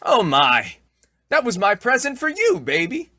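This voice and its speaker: A man plays a blustering, teasing husband in an old radio comedy. His pitch leaps about with folksy, excitable emphasis, and the sound is dry and close. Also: a little slow, dry recording, full character voice. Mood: dismayed. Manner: folksy exclamations, swooping emphasis.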